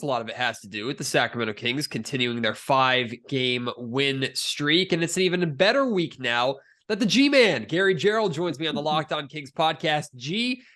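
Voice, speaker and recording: A man's voice: fairly high pitch, a bit bright. An American man hosting a sports podcast, talking as a reporter and interviewer.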